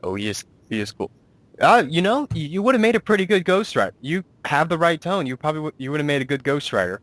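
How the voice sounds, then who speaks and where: A man's voice: a little high, big pitch swings, fast talker, a bit bright, no echo. Casual man hosting a chat, dry sound. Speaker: interviewer, host. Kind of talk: casual chatty interview.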